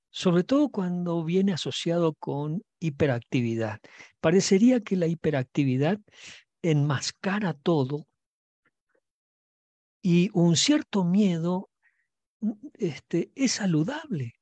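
A man's voice: fairly high pitch, mellow, dry recording, muffled recording. An older man, hosting a reflective talk on a video call, speaking thoughtfully with frequent fillers and restarts into a headset mic.

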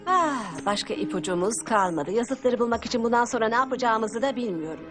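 A woman's voice: a little high, animated, fairly quick, natural voice. A woman voicing a spirited, concerned adventurer mother in a cartoon. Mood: worried. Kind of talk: animated cartoon dubbing. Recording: loud music behind.

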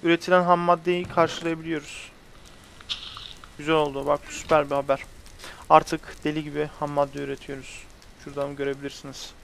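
A young man hosts a casual gaming session. His voice sits a little high for a man. He chats with friends as he explains what he is doing in the game. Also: little pitch movement, a little fast, gravelly, fairly bright tone, slight background noise. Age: young adult. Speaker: host of a gaming video channel. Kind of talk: casual let's-play commentary with friends. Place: indoors at a computer, webcam shown.

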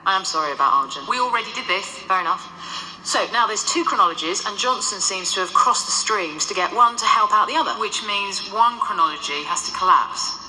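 An actress playing the ghost of a centuries-old nun in a radio drama, dry, sardonic and ruthless. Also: female voice, medium pitch, a little fast, roomy sound, slight background noise, rough recording. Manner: dry, sardonic, explains calmly.

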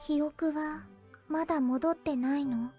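A woman playing a quiet, devoted young girl in an anime audio drama, speaking in terse, understated phrases. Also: sits high, steady tone, unhurried. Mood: shy. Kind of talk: Japanese anime drama CD acting. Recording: childlike tinkling music behind voice. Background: tinkling background music.

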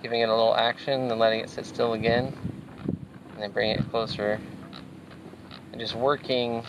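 Kayak angler explaining on open water. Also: male voice, mid-range voice, normal speed, noisy background. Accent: American Pacific Northwest. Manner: relaxed, explanatory, with frequent fillers. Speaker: fishing show host. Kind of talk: casual instructional commentary. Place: outdoors on a kayak on a lake. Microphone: action camera mounted on the kayak.

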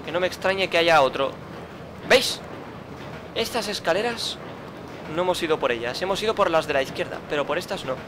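A man's voice: a little high, animated, brisk, long pauses, background noise. A man commenting his own gameplay, muttering, grumbling and swearing at the game. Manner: frustrated, swearing, muttering to himself. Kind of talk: let's play commentary.

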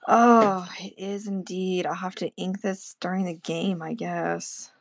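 Gravelly joking woman, drawing and chatting. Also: on the low side, steady tone, unhurried, no echo. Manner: joking, playful, laughs often. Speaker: artist co-host. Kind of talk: casual chat while drawing.

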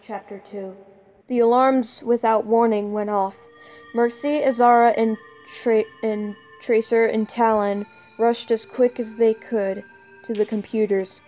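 A woman narrates a fan story in a fairly high voice. She reads from a script and trips over words now and then, with soft music underneath. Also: fairly even intonation, unhurried. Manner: stumbles over written words.

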